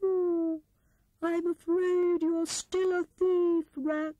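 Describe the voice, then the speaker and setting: A woman voicing a stern wise old male owl in a storybook, with drawn-out, hooting, disapproving words. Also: extremely high pitch, flat delivery, warm tone, no echo, voice pushed up, exaggerated voice, poor audio. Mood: stern, reproving.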